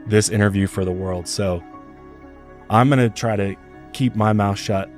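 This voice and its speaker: A hoarse male podcast host, chatty and rambling, talking in a studio. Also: lowish voice, steady tone, moderate pace, soft background music. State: hoarse from illness. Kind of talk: podcast interview.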